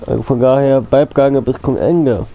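Guy with a cold chatting over his game, coughs, kinda dull lo-fi mic, mid pitch. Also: steady tone, normal speed, a little hiss or hum. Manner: rambling, repeats himself, addresses viewers as friends. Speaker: gaming channel host. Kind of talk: casual gameplay commentary.

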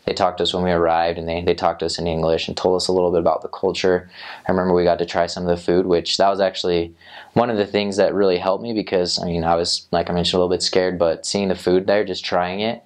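A man's voice: low voice, flat delivery, quick pace. A young man on a lapel mic in a small studio, answering interview questions about his time as a missionary, rambling with many fillers and restarts. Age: young adult. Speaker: returned missionary. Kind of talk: interview answers, casual recollection. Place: small indoor studio set.

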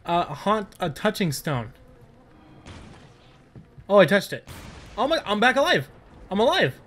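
A man chatting casually and excitably as he plays a co-op video game, swearing freely. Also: high-pitched, a little slow. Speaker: gamer, video host. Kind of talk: casual co-op gameplay chat.

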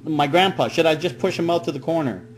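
A man's voice: fairly high pitch, fast talker. A middle-aged man making an improvised comic prank call, rambling with feigned naive worry. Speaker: prank caller. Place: small room at home, indoors. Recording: noisy webcam audio with hum.

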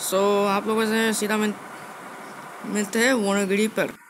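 A man's voice: sits high, level tone, unhurried. A man with an Indian accent giving casual live gameplay commentary as a video host, often repeating phrases and mixing in English words. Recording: noisy amateur screen recording with ambient sound.

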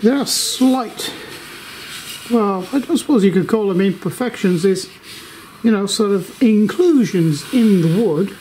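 Slow rambling older man in a workshop. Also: high-pitched, dark tone, slight background noise, rough recording. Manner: slow, rambling, many fillers. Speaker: workshop video maker. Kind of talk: casual shop update. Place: home workshop, indoors.

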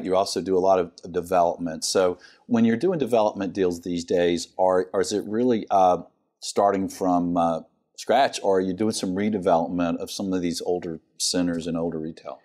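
A man's voice: sits low, steady tone, normal speed, darkish tone. An older man hosting a studio interview, asking short questions and adding brief affirmations, on a lapel mic in a TV studio. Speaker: host. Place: TV studio set.

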